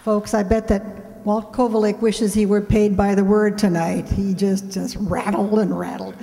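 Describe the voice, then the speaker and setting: Mellow-voiced woman in a reverberant hall. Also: medium pitch, flat delivery, moderate pace, creaky, slight background noise. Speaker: radio play director and show host. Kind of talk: casual announcements to an audience. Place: indoor hall, live stage event.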